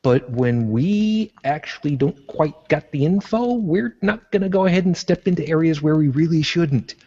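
A man's voice: fairly high pitch, animated, normal speed, a little mellow, breathy edge, dull audio. A man from Brooklyn co-hosting a podcast in casual chat, rambling and correcting himself as he jokes. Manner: rambling, self-correcting, jokey. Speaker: podcast co-host.

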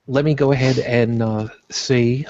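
Relaxed New man hosting a panel. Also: mid-range voice, unhurried, no echo. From born in Brooklyn, raised on Long Island. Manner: relaxed, chatty, introduces guests one by one. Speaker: host of a panel vlogcast. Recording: dry, muffled lo-fi audio.

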